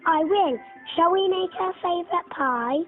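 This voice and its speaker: A woman's voice: extremely high pitch, measured pace, narrow, tinny audio, soft background music. A woman voicing a sweet, eager, trusting young girl in a children's storybook cartoon.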